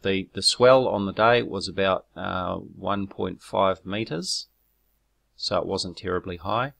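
A man talks through his own footage in a measured, explanatory way, pausing on ums and correcting himself. His voice sits very low with a gravelly fry, and he grows argumentative when answering critics. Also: fairly bright tone. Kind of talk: narrated screen walkthrough.

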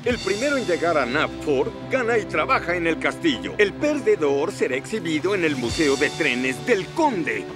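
A man's voice: fairly high pitch, sing-song, moderate pace, hardly any pauses, over music. A man voicing a proud, boastful old male steam engine in a cartoon dub. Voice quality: boastful, showy.

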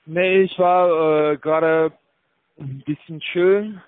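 A male call centre agent in a casual phone conversation, stumbling through halting sentences with broken grammar. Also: on the high side, fairly even intonation, dry recording. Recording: narrow, phone-like line.

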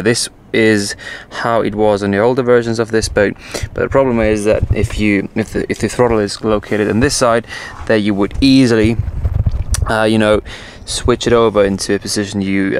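A man presenting an informal walkthrough of his boat as its owner, explaining things casually and correcting himself as he goes. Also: lowish voice. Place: outdoors on an open motorboat on the water. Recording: outdoor ambient noise on boat.

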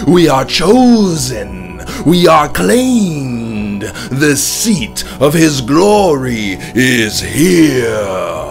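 A man voicing a fervent, menacing cult pastor in a horror audiobook, preaching in a booming, exultant, drawn-out way. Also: vocal fry, full character voice.

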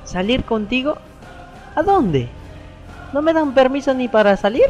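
A woman's voice: sits high, lively intonation, measured pace, darkish tone, soft background music. A woman playing a plaintive, unsure schoolboy in an educational radio play. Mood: hesitant, troubled. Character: glum, hesitant schoolboy, misunderstood and timid. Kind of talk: educational radio soap acting.

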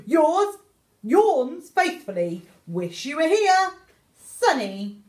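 Englishwoman, theatrical children's storyteller as a young meerkat, high-pitched and unhurried, roomy sound. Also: sing-song. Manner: exaggerated, theatrical delivery. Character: young male meerkat writing eager letters home. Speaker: teacher reading a picture book aloud. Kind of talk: expressive children's story reading. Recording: echoey room.

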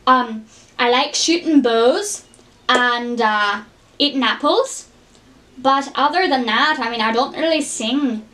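A woman's voice: high voice, slow, pushed and bright, exaggerated voice. A woman doing an impression of a young, tomboyish Scottish cartoon princess who is blunt and unbothered. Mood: blunt, casual.

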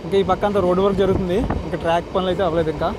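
A man's voice: flat delivery, very fast. A man with an Andhra Telugu accent gives chatty, casual travel vlog commentary straight to his viewers, recorded on board a train. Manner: chatty, addresses viewers directly. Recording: clear train and outdoor noise. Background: train rumble and outdoor ambience.

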